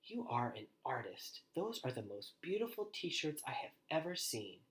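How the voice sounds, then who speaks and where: A man's voice: medium pitch, big pitch swings, normal speed, fairly bright tone. Man voicing an encouraging, admiring old grandma. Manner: encouraging, proud.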